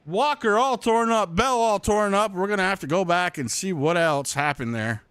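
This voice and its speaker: A man's voice: moderate pace, clean tone, no room sound. A man with a Vermont accent doing lap-by-lap commentary on a simulated stock car race, his voice jumping up sharply when a wreck unfolds. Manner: excited pitch jumps when calling wrecks. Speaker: play-by-play commentator. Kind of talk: sim racing commentary.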